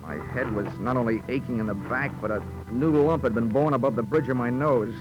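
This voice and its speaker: An American actor playing a dry, deadpan, hard-boiled insurance investigator narrating his case in a 1940s radio drama. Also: mid-range voice, fairly quick, dull audio, natural voice. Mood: wry, tense narration. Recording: old 1940s radio recording, music behind.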